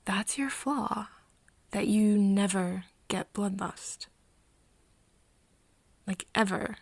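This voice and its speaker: A woman playing a hesitant, caring young human friend in a soft ASMR roleplay, breathy and often dropping into a whisper, speaking haltingly. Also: mid-range voice, no room sound, full character voice. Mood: tentative, curious. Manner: halting, hesitant, with nervous giggles. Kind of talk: soft ASMR roleplay acting addressed to the listener.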